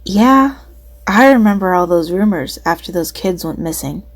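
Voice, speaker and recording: A woman's voice: lowish voice, lively intonation, normal speed. A woman playing a trusting, candid young woman who is a friendly confidante in an audio drama.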